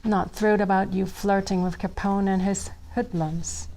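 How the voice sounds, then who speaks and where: A woman's voice: mid-range voice, fairly even intonation, normal speed, dark tone, lightly acted. Woman as cool, stoic society wife, noisy audio. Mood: cool, dry. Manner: cool, dryly disapproving. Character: stoic, dry, shrewd politician's wife in her thirties. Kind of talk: table read of a TV script.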